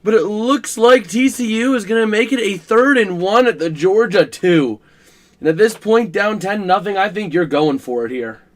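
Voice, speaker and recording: A man hosting a casual live stream about a college football game, his voice scratchy and rough, chatting to viewers with plenty of fillers. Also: high-pitched, lively intonation, moderate pace. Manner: chatty, filler-heavy, talks to chat while working the stream.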